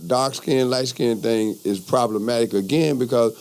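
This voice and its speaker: Male guest, rhetorical and preachy, mid pitch. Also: moderate pace, a little mellow. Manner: preachy, rhetorical, builds up points. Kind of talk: talk show discussion.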